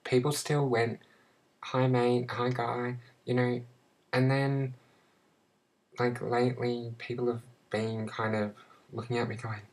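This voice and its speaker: A young Australian woman talking to her camera in a casual personal vlog, halting and searching for words with many restarts. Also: extremely low pitch, flat delivery, a little mellow, poor audio. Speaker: vlogger.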